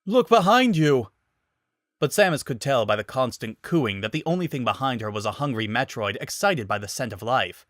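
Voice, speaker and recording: A man's voice: mid-range voice, fairly quick, few pauses, a bit bright, no room sound. Man narrating dramatically, dry close sound. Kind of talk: dramatic scripted story narration.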